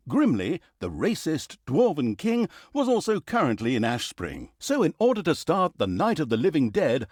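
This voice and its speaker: A vain, sardonic storyteller for a fantasy video game about evil. His fairly high voice swings dramatically up and down with mock-grand emphasis and wry asides. The recording is very dry and close, with no room sound. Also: a little mellow, dry recording. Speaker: game narrator. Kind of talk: theatrical comic storytelling narration.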